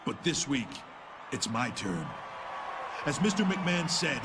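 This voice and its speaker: A man's voice: medium pitch, very animated, measured pace, a crowd in the background, noisy background. An actor playing a smug veteran male wrestling champion, talking with sneering dismissal. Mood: dismissive. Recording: distant voice in arena ambience.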